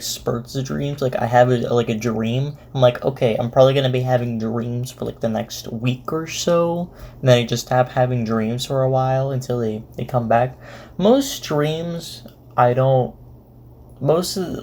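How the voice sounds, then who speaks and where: A man's voice: medium pitch, unhurried, darkish tone. A man giving casual, off-the-cuff reaction commentary, rambling and repeating his words. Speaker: reaction host.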